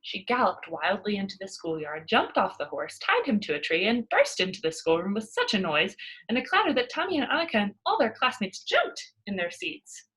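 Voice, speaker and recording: Woman, children's story narration, expressive, highly varied intonation, soft husky edge. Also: fairly quick, poor audio. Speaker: storybook reader.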